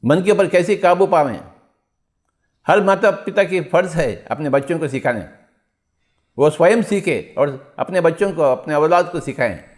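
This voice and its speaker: A man's voice: fairly high pitch, expressive, measured pace. A man teaching meditation in an exhorting spiritual discourse, repeating his phrases and posing rhetorical questions.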